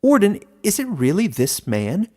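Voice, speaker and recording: A man voicing a small, excitable frog-like creature, awed and blurting, in a fantasy story. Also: sits high, a little slow, pitched above the performer's own voice, full character voice. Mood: excited, urgent.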